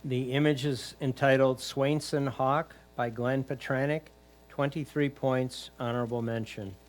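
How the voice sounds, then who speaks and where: A man's voice: mid-range voice, fairly even intonation, measured pace. A man reading out titles and scores at a competition in a formal, matter-of-fact way.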